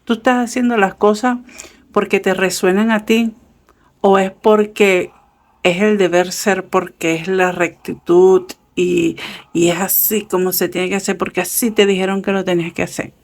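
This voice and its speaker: A woman giving a casual tarot reading, chatty and affectionate, with many fillers and soft laughs. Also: mid-range voice, steady tone, moderate pace. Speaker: tarot reader. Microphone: camera set up over a table with cards.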